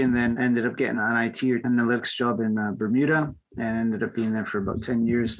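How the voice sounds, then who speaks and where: A man's voice: mid-range voice, low-quality recording. A man in his forties from Peru, raised in Toronto, speaking as an interview guest in a reflective way, often adding "right?" and "uh". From born in Peru, raised in Toronto. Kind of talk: casual interview chat.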